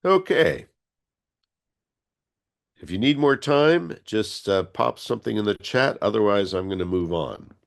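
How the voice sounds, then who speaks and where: A man with a low-pitched voice leads an online workshop on a video call. He sounds a little stuffy from a cold. His delivery is informal and somewhat hesitant as he walks through a demonstration step by step. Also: lively intonation, a little slow, vocal fry, long pauses. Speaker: workshop instructor. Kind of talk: informal online tutorial, explaining a software demo.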